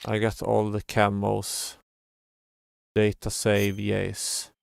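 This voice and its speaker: A man's voice: on the low side, completely flat delivery, slow pace, gravelly, clean tone, no room sound. A man giving casual live game commentary as a streamer, muttering in a mildly exasperated way. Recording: game music behind the voice. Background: video game music.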